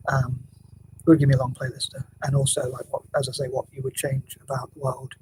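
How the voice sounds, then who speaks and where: A man vlogging casually at home, with a quiet voice, talking hesitantly with many restarts and fillers, often sinking to a near whisper. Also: medium pitch, completely flat delivery, fast, a little hiss or hum, poor audio. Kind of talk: rambling casual vlog monologue. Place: home room, building work next door.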